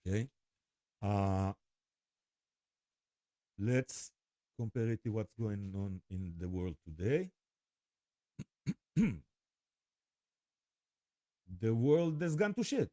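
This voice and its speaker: A man with an Israeli accent speaks in a very dark, mellow voice at a slow pace. His delivery is halting and informal, full of pauses and repeated words, as he rambles to camera. The sound is dry and close, with little room in it. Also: fairly low pitch, expressive, no echo. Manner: halting, with frequent pauses and repetitions. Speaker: spiritual vlogger.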